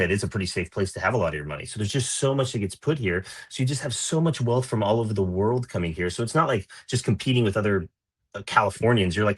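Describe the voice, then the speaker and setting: Man, low husky voice, rapid pace, casual podcast discussion, animated rambling delivery. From Lima, Peru. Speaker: podcast panelist.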